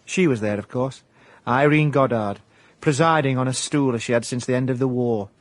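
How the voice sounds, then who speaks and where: A man's voice: medium pitch, moderate pace, no room sound, lightly acted. An actor playing a wry amateur private detective with a South Yorkshire accent, narrating his case in radio comedy drama. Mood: wry, rueful.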